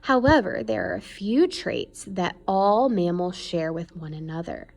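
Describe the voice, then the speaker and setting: A woman's voice: on the low side, a little slow, gravelly, darkish tone. A woman reading an educational children's book aloud in an explanatory way, stressing key words. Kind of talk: read-aloud educational narration.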